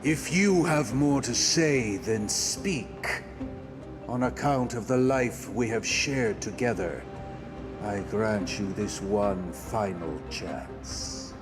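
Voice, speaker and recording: A man's voice: on the low side, slow pace. A man playing a ruthless, brooding warrior king and father in a fantasy game, with grandiose, solemn, archaic speech. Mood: stern. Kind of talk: dramatic fantasy game voice acting. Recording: music underneath.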